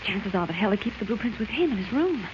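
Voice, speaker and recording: Hissy vintage radio, woman as plucky captive heroine, rapid speech, urgent, anxious. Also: medium pitch, animated, natural voice. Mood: urgent, worried. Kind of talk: 1940s radio adventure acting. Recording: dull, hissy 1940s radio recording.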